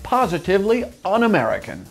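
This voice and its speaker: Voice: mid-range voice, wildly swooping pitch, fast talker, over loud music. A news presenter reading scripted items with a wry touch. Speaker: news anchor.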